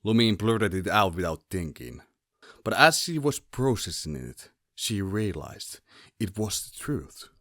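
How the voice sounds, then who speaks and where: A man's voice: on the low side, very animated, unhurried, fairly bright tone, dry recording, studio quality. A man giving scripted, dramatic narration as the storyteller of an audio drama.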